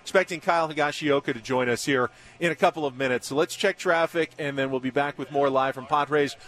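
A man's voice: on the high side, fairly quick, a bit bright. A man hosting live sports talk radio from a remote broadcast. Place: live remote broadcast at a baseball spring training site.

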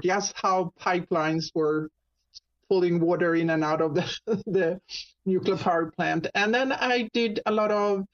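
Swedish lady on a talk show, kinda low voice, lots of ums, muffled recording. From Sweden. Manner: halting, many ums and restarts. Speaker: guest, marketing business owner. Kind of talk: radio talk show interview.

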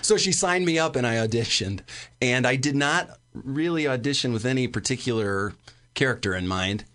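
A man in his thirties, an interview guest speaking in a halting, thoughtful, conversational way. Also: medium pitch, expressive, a bit bright. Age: 30s. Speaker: interview guest, amateur stage actor.

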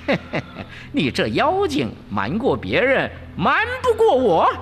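A man voicing a brash, cocky, quick-tempered monkey warrior in an excitable, defiant storybook manner. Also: extremely high pitch, big-room sound, slight background noise, pitched above the performer's own voice, full character voice. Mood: mocking.

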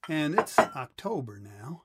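A man playing a frank, gently probing middle-aged father in a 1930s family audio drama. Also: on the high side, big pitch swings, takes it slowly. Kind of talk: scripted audio drama dialogue. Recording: noisy, with clinking dishes.

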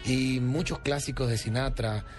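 Male singer guest from Colombia, talks expansively and eagerly, heard over clear background music. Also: mid-range voice, fairly even intonation, normal speed. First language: Spanish. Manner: expansive, enthusiastic, lists songs. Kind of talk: radio interview conversation.

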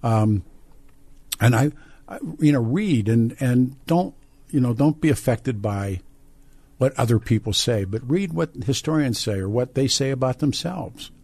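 An American man hosting a call-in radio show, who talks discursively, halting and repeating words as he thinks aloud. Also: mid-range voice, lively intonation, darkish tone, husky. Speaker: radio talk show host. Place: radio studio. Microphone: close studio microphone.

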